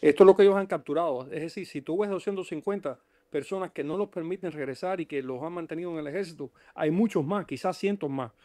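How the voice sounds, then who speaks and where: Man, raised pitch, emphatic political commentator, rhetorical, very dry sound. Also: quick pace, mellow, no echo. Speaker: expert guest.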